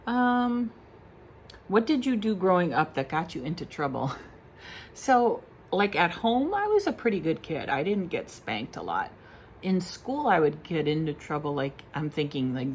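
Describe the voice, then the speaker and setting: A woman's voice: deep voice, a little hiss or hum. A woman in her forties chatting casually to camera at home, answering personal questions with lots of ums and small laughs. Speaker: beauty vlogger.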